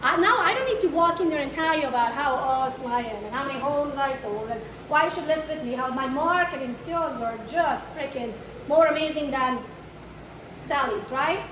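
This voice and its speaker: A woman's voice: high-pitched, expressive, normal speed. A woman with an accent teaches a live workshop for realtors. She speaks bluntly, swears now and then, and keeps asking the room questions. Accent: foreign. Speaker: real estate marketing trainer. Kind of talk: live workshop talk to an audience. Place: training room with a live audience. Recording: echoey room, mic far off, noisy.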